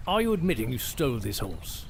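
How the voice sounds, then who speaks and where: A man's voice: mid-range voice, very animated, a little fast, vocal fry, a little hiss or hum. A young man playing an earnest, courteous royal knight in a fantasy audio drama, speaking formally. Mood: insistent. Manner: formal, polite, stately.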